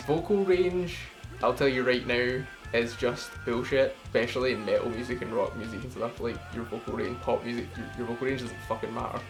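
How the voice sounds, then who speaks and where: Rambling, swearing male singer over music. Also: medium pitch. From United Kingdom. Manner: rambling, swears freely, self-deprecating. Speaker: singer explaining his cover. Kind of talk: casual vocal breakdown. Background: song playing underneath.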